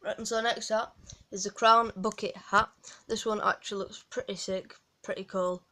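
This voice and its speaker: A woman's voice: mid-range voice, fairly even intonation, normal speed, sharp tone. A woman with a Yorkshire accent gives a rambling, casual walkthrough of a game from home, full of ums and restarts. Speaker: gaming guide creator.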